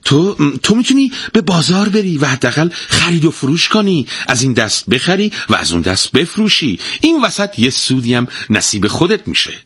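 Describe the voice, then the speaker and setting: A worn-out wife in a storybook folk tale, voiced by a man in a raised, husky tone. She scolds and pleads in turn, swinging widely in pitch. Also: huge pitch swings, no room sound, exaggerated voice. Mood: eager. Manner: pleading then shouting, scolding. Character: weary, exasperated, nagging wife. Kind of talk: storybook reading.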